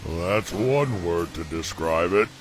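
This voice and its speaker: A man voicing a proud, brooding exiled dragon in an animated film, speaking in a dry, grandiose, theatrical way. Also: low voice, slow pace. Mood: suspicious. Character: banished male dragon, gruff, brooding, proud of his might.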